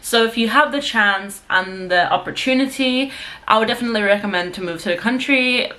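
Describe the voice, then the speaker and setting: A woman's voice: a little high, brisk, pushed and bright, slight background noise. A young woman from Sweden who vlogs, chatting to her camera in a casual, rambling way, with plenty of fillers and restarts. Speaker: vlogger.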